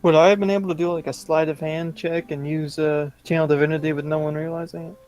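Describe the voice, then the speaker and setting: Male tabletop player, fairly high pitch, relaxed conversational delivery over a call, light music behind. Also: quick pace. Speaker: player in a tabletop roleplaying game. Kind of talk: casual chat. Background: background music.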